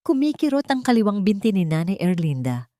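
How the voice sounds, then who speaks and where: A woman's voice: mid-range voice, sing-song, a little fast, mellow, no room sound. A woman storyteller giving a dramatic scripted narration, recorded close as a voice-over.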